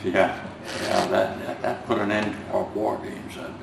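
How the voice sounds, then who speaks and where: A man's voice: on the low side, flat delivery, a little slow, gravelly. An older man with a Finnish-American accent, Finnish as his first language, reminiscing hesitantly in an oral history interview, with many fillers and restarts. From United States. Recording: roomy, distant, noisy home recording.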